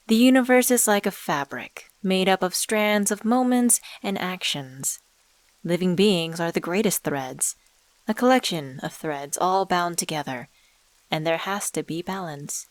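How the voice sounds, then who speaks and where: A woman voicing an enigmatic supernatural dealmaker in an ASMR audio drama, coaxing and teasing in a deliberate, smooth-talking way. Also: fairly low pitch, no echo. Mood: amused, musing. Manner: coaxing, teasing, deliberate, calls listener sweetheart. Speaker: voice actor in a scripted audio drama.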